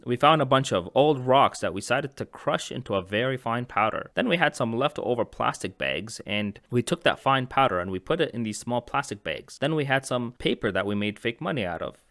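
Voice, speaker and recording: A man's voice: medium pitch, lively intonation, a little fast, hardly any pauses, a bit bright, dry recording, clean studio sound. A man from Egypt narrating a comedic childhood story, with rambling joking asides. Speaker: animation channel host.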